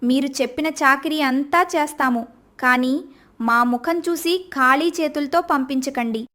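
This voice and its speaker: An actress playing a poor farmer's devoted wife who speaks softly and earnestly. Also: female voice, high voice, a little slow. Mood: pleading.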